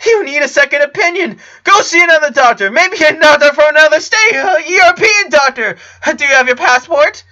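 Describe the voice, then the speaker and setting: A man voicing an anxious young boy, a loyal best friend, with a high, strained voice in a story reading. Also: animated, fairly quick, hardly any pauses, bright, forceful tone, highs cut off, heavily acted. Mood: panicked.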